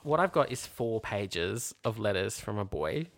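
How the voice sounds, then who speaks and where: Wry, creaky-voiced male podcast co-host. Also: on the low side, sing-song, measured pace, fairly bright tone, dry recording. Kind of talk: casual banter.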